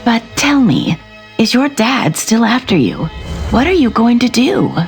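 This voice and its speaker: A woman playing a caring, gently concerned older mother in an audio drama. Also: on the high side, very animated, a little slow, creaky, soft background music, full character voice. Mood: fond, concerned. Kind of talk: dramatised audio series acting.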